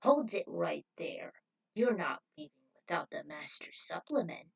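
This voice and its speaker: Woman acting a commanding, husky cult zealot. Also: lowish voice, expressive, measured pace, far from the mic, muffled recording, voice pushed down, exaggerated voice. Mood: stern. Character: serene, commanding cult zealot leading brainwashed followers.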